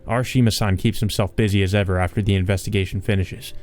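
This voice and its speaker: A man's voice: on the low side, fairly even intonation, quick pace, a little mellow. A man hosting a let's play, reading a visual novel aloud and adding casual asides. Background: game music.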